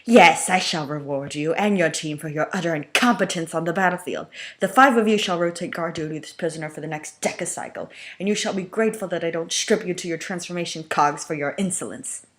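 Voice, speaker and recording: A woman acts out a male robot tyrant in a fan fiction reading. He is sneering and menacing, handing down orders with mocking scorn in a low female register. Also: fairly quick, fairly bright tone, lightly acted. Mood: scornful. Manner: mocking, commanding.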